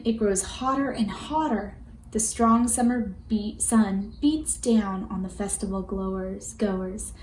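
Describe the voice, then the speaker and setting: A woman with a fairly high voice reads a children's storybook aloud. Her delivery is expressive and deliberate, shaped for young listeners. The recording has a roomy echo and some faint background noise. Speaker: storybook reader. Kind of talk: children's read-aloud narration.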